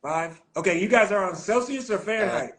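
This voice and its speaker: Laid-back American man hosting, slightly higher voice. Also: fairly quick, a little hiss or hum. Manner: relaxed, easygoing, slangy with many fillers. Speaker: podcast host. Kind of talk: casual podcast interview. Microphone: remote live-stream call.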